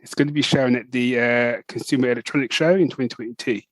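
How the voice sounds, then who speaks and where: A man's voice: mid-range voice, steady tone, fast, no echo. A British man co-hosting a podcast in casual chat, talking loosely and laughing often. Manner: chatty, laughing, lots of "you know what I mean". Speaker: podcast co-host.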